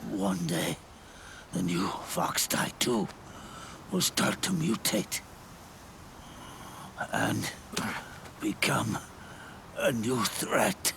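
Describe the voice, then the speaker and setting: Gravelly, dying old soldier. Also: male voice, fairly low pitch, animated, a bit bright, slight background noise, exaggerated voice. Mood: grave, failing. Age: older. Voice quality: gravelly, breathy, often fading to a whisper. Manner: halting, solemn, drawn-out phrases. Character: dying old male soldier, weary, regretful, reflective. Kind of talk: cinematic video game acting. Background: music.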